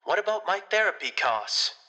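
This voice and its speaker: Man playing a shrill, aggrieved, melodramatic guy. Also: sing-song, moderate pace, sharp tone, full character voice, rough recording. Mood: wounded, indignant. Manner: whiny, indignant, dramatic complaining. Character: aggrieved, whiny, melodramatic man in a biker crew. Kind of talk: comedic audio drama acting.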